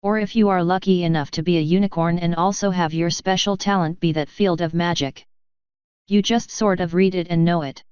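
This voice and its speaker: A woman's voice: fairly low pitch, steady tone, a little fast, a little mellow, clean tone, dry recording, dull audio, close to an everyday voice. A woman voicing a knowledgeable female unicorn mage who teaches patiently in an audiobook story. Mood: explanatory.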